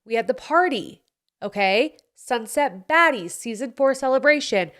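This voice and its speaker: A woman's voice: sits high, lively intonation, moderate pace, a bit bright. A young woman hosting her own podcast, chatting candidly and playfully about her week, her voice a bit congested. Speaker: podcast host. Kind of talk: casual solo podcast chat. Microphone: studio mic.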